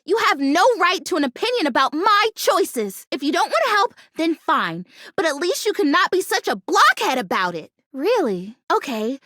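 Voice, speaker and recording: A woman's voice: very high voice, big pitch swings, moderate pace, hardly any pauses, bright, forceful tone, dry recording, studio quality, heavily acted. A woman voicing an earnest, hopeful plastic plant girl who longs to be real, a video game character with a dramatic, childlike way of talking. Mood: indignant, defensive. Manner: earnest, dramatic, childlike phrasing and mild insults.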